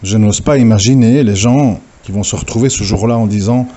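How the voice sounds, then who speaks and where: A man's voice: on the low side, fairly quick, mellow. A man giving an informal religious talk, hesitant and full of fillers and restarts as he lectures. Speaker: religious preacher. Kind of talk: informal recorded audio talk.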